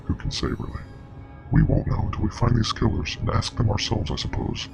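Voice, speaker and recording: Man acting as a gravelly, grave parasite expert. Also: fairly low pitch, expressive. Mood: clinical, ominous. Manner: measured, explanatory, clinical. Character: grave, knowing male parasite expert and investigator. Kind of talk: scripted web horror drama. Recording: music playing behind.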